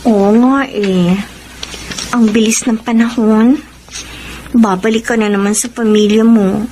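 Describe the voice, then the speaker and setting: A woman's voice: fairly high pitch, fairly even intonation, a little slow, warm tone, slight background noise, close to an everyday voice, rough recording. A woman with a Filipino accent playing a clingy, sweetly manipulative mistress in a radio drama. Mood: sulky, clinging.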